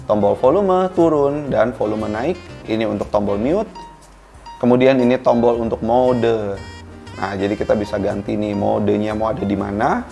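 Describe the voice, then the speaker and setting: A man's voice: mid-range voice, darkish tone. A man presenting a product review casually and informally, speaking directly to viewers. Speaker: product reviewer, video host.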